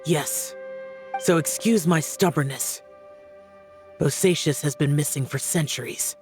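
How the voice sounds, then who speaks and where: A woman's voice: low-pitched, a little slow, darkish tone, soft background music. A woman playing a stern, solitary young male warrior in a video game, terse, grave and commanding. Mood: somber. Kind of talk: dramatic video game acting.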